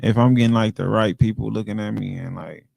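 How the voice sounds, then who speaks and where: A man guests on a casual podcast, answering questions in a relaxed, unhurried way. His voice is heavily gravelly and mellow. The sound is dry and close. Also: lowish voice, dry recording. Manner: laid-back, brief answers, many backchannels. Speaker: guest, teacher and rapper.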